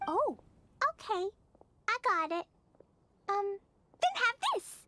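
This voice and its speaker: An innocent little girl in a video game. The voice is tiny and extremely high, eager and sweet, with slow, sing-song swings. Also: background noise, heavily acted. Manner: childlike, simple excitable phrasing.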